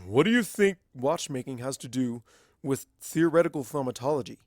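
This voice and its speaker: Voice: medium pitch, expressive, normal speed, dry recording, clean studio sound, natural voice. A narrator voicing a scholarly, defensive adult male pony in a storybook reading. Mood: confused.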